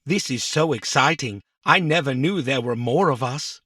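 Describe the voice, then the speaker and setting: Whiny little robot, low-pitched. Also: female voice, normal speed, background noise. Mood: excited. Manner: haughty, whiny, dramatic. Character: small childlike male robot, petulant, easily scared, dramatic. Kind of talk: children's audio drama acting.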